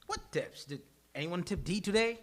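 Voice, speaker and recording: A woman playing an excitable, nosy male barroom buddy in a live sitcom table read. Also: fairly low pitch, moderate pace. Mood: nosy, indignant.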